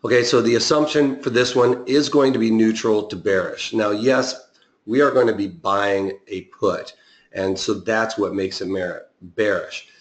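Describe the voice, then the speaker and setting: Raspy man teaching a live webinar. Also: on the low side, steady tone, measured pace, reverberant. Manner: explanatory, with frequent fillers and restarts. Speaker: options trading instructor.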